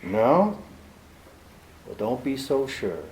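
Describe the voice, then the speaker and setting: A man's voice: medium pitch, sing-song, extremely slow, darkish tone, slight background noise, full character voice. A man playing a cynical, tough private detective in a 1940s-style radio play, speaking with a dry, sardonic bite. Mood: mocking. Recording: echoey room.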